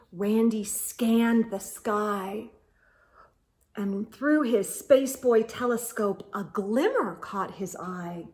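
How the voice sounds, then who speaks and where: A woman reading a picture book aloud to children in an animated, dramatic way, with hushed asides to her listeners. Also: high-pitched.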